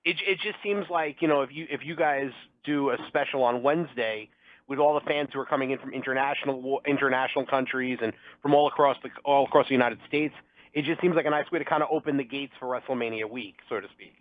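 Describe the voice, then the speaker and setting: A man's voice: medium pitch, fast talker, dry recording. A man asking questions as a reporter on a press call, polite and conversational, building long questions with some restarts. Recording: dry, narrow phone line.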